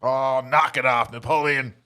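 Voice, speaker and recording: A man playing a bossy old grandmother in a comedy script read, speaking curtly and deliberately in a sharp voice. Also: medium pitch, fairly even intonation, smooth, close to an everyday voice. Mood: scolding.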